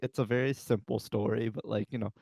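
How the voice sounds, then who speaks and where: A man's voice: on the low side, fairly even intonation, fairly quick, creaky, a little mellow, no echo, studio quality. A man co-hosting a podcast, giving blunt opinions in casual, profanity-sprinkled chat. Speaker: podcast co-host. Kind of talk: casual movie discussion chat.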